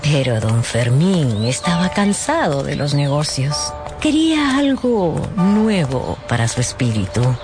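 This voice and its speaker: A radio novel narrator with animated, widely varied intonation, telling the story dramatically. Music plays clearly behind the voice. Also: medium pitch, a little slow.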